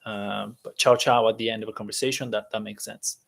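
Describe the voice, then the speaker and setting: A man who is a native Italian speaker, hosting a casual live-stream lesson, talking in a chatty, halting way with many fillers. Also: lowish voice, fairly even intonation, creaky.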